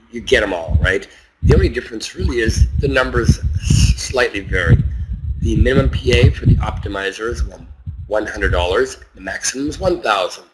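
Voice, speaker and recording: A man giving an informal, instructional presentation on a conference call, explaining step by step and repeating points for emphasis. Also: mid-range voice. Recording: noisy conference call with clicks.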